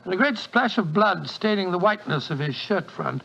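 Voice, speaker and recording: An actor playing the detective's earnest, loyal doctor companion, who narrates the story in a 1940s radio drama. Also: male voice, high voice, animated, normal speed, creaky, pushed and bright, full character voice. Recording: dull 1940s radio broadcast.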